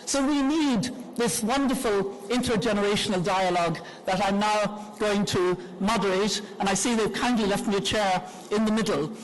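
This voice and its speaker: An older woman, a grandmother, moderating a conference panel in an earnest, measured way with frequent pauses. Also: medium pitch, fairly even intonation, rough recording.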